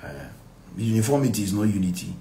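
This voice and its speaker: A middle-aged Nigerian man leading a live Bible study as pastor, reading verses and explaining them emphatically and deliberately, with repeated phrases and rhetorical questions. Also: fairly low pitch, very animated. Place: small indoor room. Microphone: phone at arm's length. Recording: echoey room, faint background noise.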